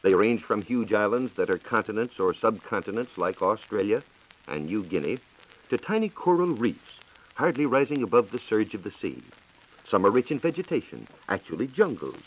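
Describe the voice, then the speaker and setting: A man's voice: lowish voice, sing-song, moderate pace, poor audio. A man with an American accent giving a formal, explanatory scripted science talk on 1940s radio. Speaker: science reporter.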